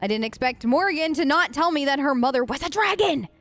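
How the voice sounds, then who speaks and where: Girl gamer doing let's play, high voice, chatty n emphatic, close dry mic, faint music behind. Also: quick pace, no room sound. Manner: expressive, emphatic, incredulous asides. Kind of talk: role-play game commentary, conversational. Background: faint game music.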